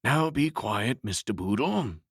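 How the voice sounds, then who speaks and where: A man voicing a calm male doctor reassuring a patient, in a raised voice. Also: medium pitch, measured pace, breathy edge, no room sound, studio quality, pitched above the performer's own voice. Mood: soothing.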